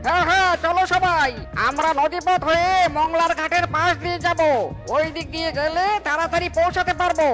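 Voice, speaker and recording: A woman's voice: very high-pitched, steady tone, brisk. A woman voicing a kind, eager young animal villager in a cartoon, caring and earnest in manner. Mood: urgent. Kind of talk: cartoon voice acting. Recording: music behind the voice.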